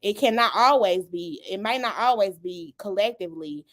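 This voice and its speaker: A woman's voice: mid-range voice, lively intonation, normal speed, fairly bright tone. A woman hosting a podcast panel, talking animatedly, repeating herself for emphasis and laughing often. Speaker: podcast host. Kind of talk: casual panel discussion.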